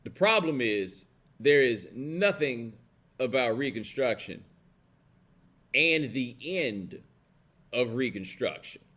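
American guy hosting a live show, mid pitched, blunt n slangy, leans on his points, keeps checking you get him. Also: expressive, slow pace, pauses often, fairly bright tone. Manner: blunt, colloquial, emphatic, checks in with listeners. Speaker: host of a live commentary show. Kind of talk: casual opinionated livestream commentary.

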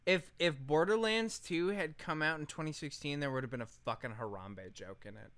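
A man's voice: on the high side, moderate pace, fairly bright tone, no echo. A man co-hosting a podcast in casual comedic chat, swearing freely and giving blunt opinions. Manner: profane, opinionated. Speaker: podcast co-host.

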